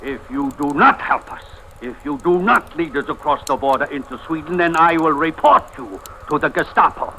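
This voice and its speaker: An actor playing a proud, bitter, desperate older husband in a theatrical old radio drama, imperious and sarcastic. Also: male voice, a little high, normal speed, bright, forceful tone. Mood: indignant, threatening. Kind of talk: 1940s-50s radio drama acting. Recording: noisy vintage radio recording.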